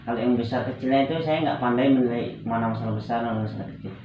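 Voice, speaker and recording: A man's voice: mid-range voice, fairly even intonation, rapid-fire, heavy reverb. Man, Medan accent, hesitant, counselling client, muffled sound, faint noise. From Medan, North Sumatra, Indonesia. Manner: hesitant, repeats phrases. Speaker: client in a practice counselling session. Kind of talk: counselling conversation, talking about personal problems.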